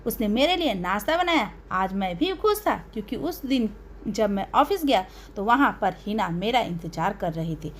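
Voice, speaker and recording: A woman narrating a dramatic first-person audio story in an emotional, expressive way. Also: mid-range voice, normal speed, a little hiss or hum.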